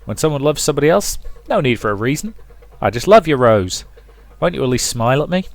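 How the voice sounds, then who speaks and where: A man's voice: mid-range voice, sing-song, quick pace, no room sound, voice pushed up. A man voicing a playful, lovestruck young man who woos earnestly in a visual novel reading. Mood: ardent. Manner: earnest, teasing, wooing. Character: eager, playful lovestruck young man courting a shy girl.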